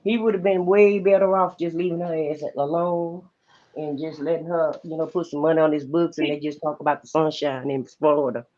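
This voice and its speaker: A woman's voice: sits low, steady tone, fairly quick, warm tone. An American woman giving blunt, colloquial opinions in a casual chat, heard through lo-fi call audio. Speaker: panelist on a commentary livestream. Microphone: video-call livestream. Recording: slightly dull, lo-fi call audio.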